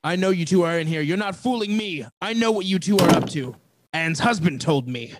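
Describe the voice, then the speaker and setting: A man's voice: high voice, lively intonation, brisk, a little hiss or hum. A man playing a melodramatic, self-pitying betrayed husband in an old-time radio comedy mystery. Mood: accusing. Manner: melodramatic, exclamatory.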